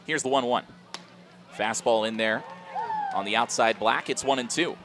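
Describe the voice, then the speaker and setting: A man's voice: medium pitch, moderate pace, background noise. A man doing live baseball play-by-play for a radio broadcast from an outdoor ballpark. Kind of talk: live sports commentary.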